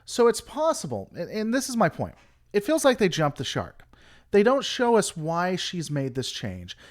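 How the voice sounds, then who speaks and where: A man's voice: high-pitched, huge pitch swings, normal speed. A man hosting a casual livestream, rambling through an opinionated critique and answering chat. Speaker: livestream host.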